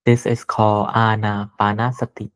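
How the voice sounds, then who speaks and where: A man leading a guided meditation as a teacher, speaking gently and deliberately with pauses between short phrases. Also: lowish voice, little pitch movement, clear, resonant tone.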